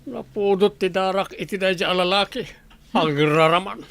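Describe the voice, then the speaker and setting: Gravelly, calculating father. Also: high-pitched, moderate pace, a bit bright. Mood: disapproving. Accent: Filipino, Ilocano. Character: calculating wealthy middle-aged father plotting his daughter's marriage. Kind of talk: radio drama acting.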